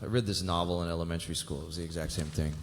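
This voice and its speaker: A young man on the run, sharp-witted and jittery, in a TV drama table read. The voice is deep and rapid, ringing through a noisy hall. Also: steady tone. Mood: cocky. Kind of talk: live table read of a TV script. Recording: noisy hall with long reverb.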